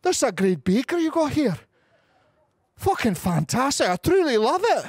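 A loud, gushing charmer with a thick Scottish accent, as a comedian puts it on. The voice climbs high and bright with excitement. The delivery is exaggerated and theatrical. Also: male voice, a little slow, breathy edge, no room sound, studio quality, heavily acted. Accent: Scottish, put on. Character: man in a fake Scottish persona, overly charming.

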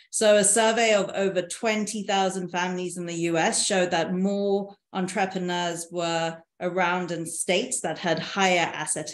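A woman in her thirties presenting slides on a video call, in a measured, explanatory way. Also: fairly low pitch. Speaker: panelist presenting on insolvency reform. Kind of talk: presentation over shared slides. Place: indoor room, video call. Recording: echoey room on a video call.

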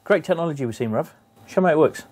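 A man presenting and interviewing shop owners in brisk, upbeat questions. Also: on the high side, animated, darkish tone. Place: shops and community hall, indoors.

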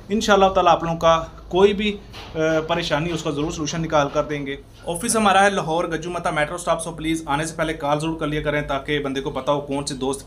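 A young man from Pakistan, filming himself on a phone at arm's length in a small workshop. He gives an earnest, repetitive sales pitch with many fillers. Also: fairly bright tone, slight background noise. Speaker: small business owner promoting machines. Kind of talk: selfie sales vlog. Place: small workshop and shop indoors. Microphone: phone held at arm's length.

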